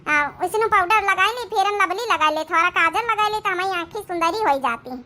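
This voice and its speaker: Casting: woman as vain young Awadhi daughter-in-law, comic cartoon, stubborn chatterbox, extremely high bright voice. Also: fairly quick. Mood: insistent.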